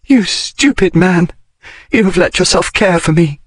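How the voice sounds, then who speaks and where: A sharp-tongued, logical lady of a bygone era, as a male audiobook narrator puts her on, lifting his voice high and clipping each formal phrase. The sound is close and dry, with no room around it. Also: a little slow, dry recording. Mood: distressed. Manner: crisp, formal period speech rising in pitch. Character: blunt, logical Victorian-era lady, wry and emotional. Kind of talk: audiobook character reading.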